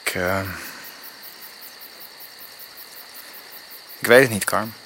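An actor playing a troubled, evasive husband who speaks hesitantly in a Dutch radio drama. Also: male voice, lowish voice, sharp tone, a little hiss or hum, low-quality recording. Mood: torn. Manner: hesitant, halting. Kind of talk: Dutch radio drama acting with inner-monologue narration.

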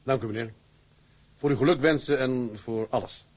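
A man's voice: medium pitch, normal speed, husky, lightly acted. Male actor, young seaman, earnest, eager, slightly hesitant, respectful, mid-range voice, drama dialogue. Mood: respectful, uncertain. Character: eager young newly qualified ship's mate, earnest and respectful. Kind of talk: radio or screen drama dialogue.

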